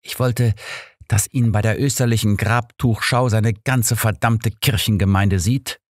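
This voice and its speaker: Man acting embittered woman, audiobook murderess, soft deliberate confession, dry close sound. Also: fairly low pitch, steady tone, no echo, lightly acted. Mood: grim, confessional.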